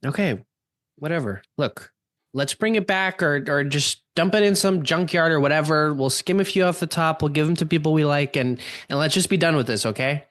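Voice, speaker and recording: A man's voice: on the high side, fairly quick, a bit bright, no echo, studio quality, voice pushed up, natural voice. A man playing an exasperated, protective teenage older brother in a radio play, slangy and defensive.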